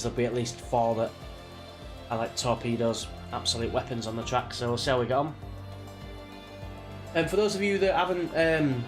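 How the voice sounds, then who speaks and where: A British man with a slight cold chats casually on a livestream, rambling in a laid-back way like a racing gamer talking to viewers. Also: mid-range voice. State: sniffly from a cold. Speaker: live streamer, sim racing driver. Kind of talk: casual livestream chat before a race.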